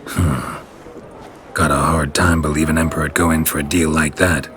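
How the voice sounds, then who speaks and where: A man playing a gruff, wary monster hunter in a fantasy video game, speaking in terse, deadpan phrases. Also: a bass voice, normal speed, a little hiss or hum. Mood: sceptical, dry. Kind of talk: cinematic fantasy game acting.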